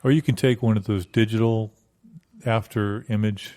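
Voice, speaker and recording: A man's voice: fairly low pitch, fairly even intonation, moderate pace, warm tone, natural voice. A man playing a cynical small-town radio co-host, mild and hedging, with dry pessimism in improvised comedy banter.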